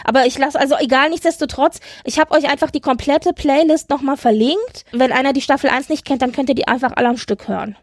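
A woman with a fairly high voice co-hosts a casual fan podcast. She is chatty, emphatic and enthusiastic. The sound is very dry, with hardly any room to it. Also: steady tone, quick pace, few pauses, no echo. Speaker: podcast co-host.

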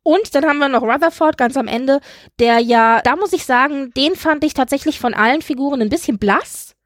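A woman's voice: sits high, a little fast, no room sound, clean studio sound. A woman hosting a podcast, talking through a series review in an analytical, point-by-point way. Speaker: podcast host. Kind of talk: conversational review discussion of a TV series.

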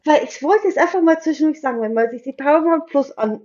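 A woman's voice: sits high, quick pace, darkish tone. A woman co-hosting a casual fan podcast, effusive and emphatic in the way she talks. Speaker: podcast co-host. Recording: slightly dull, lo-fi microphone sound.

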